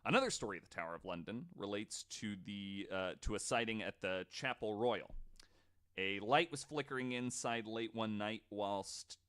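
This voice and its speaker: A man's voice: fairly low pitch, normal speed, fairly bright tone, clean tone. A man hosting a podcast, telling stories casually from notes with informal, sweary asides. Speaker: podcast host.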